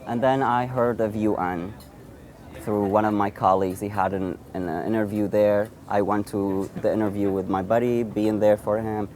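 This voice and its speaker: A man in his thirties from Iraq, an Assyrian speaker, telling his own story in an interview in a halting way, with frequent restarts. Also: fairly low pitch, fairly even intonation, a little mellow, a little hiss or hum. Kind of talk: personal storytelling.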